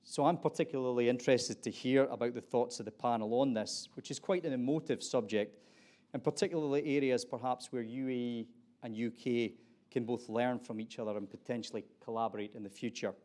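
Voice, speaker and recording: A man's voice: mid-range voice, steady tone, brisk, darkish tone. A middle-aged man from Aberdeen with a Scottish accent, introducing a conference panel in a conversational way with frequent restarts. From Scotland. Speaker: panel moderator.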